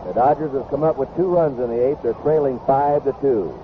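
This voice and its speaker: A man's voice: mid-range voice, fairly quick, background noise. Phone-like old radio baseball play-by-play man. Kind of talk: live radio sports commentary.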